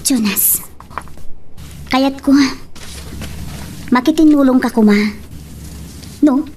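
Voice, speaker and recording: A woman's voice: high-pitched, slow, darkish tone. A woman acting an earnest, pressing woman mayor in an Ilocano radio love drama. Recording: somewhat distant mic, faint noise.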